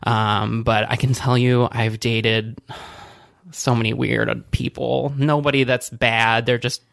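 A man in his early thirties co-hosts a casual podcast, trading quick quips and laughing easily. His voice is mid-pitched and recorded very dry and close. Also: flat delivery, vocal fry, a bit bright, no echo. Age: early 30s. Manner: quippy, laughing, candid storyteller. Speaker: podcast co-host. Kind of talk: casual chat.

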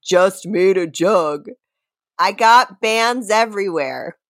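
A woman co-hosts a comedy podcast with a theatrical streak. Her intonation is very animated, yet she takes her time over silly lines and is prone to giggling. The sound is dry, with hardly any room in it. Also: medium pitch, slow pace, no echo. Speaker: podcast co-host reading song lyrics. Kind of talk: mock dramatic reading and casual banter.

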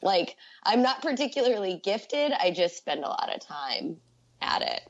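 A woman's voice: medium pitch, very animated, unhurried, dull audio. A woman chatting as an interview guest, casual and self-deprecating, with "like" sprinkled through her sentences. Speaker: interview guest, author and writing teacher.

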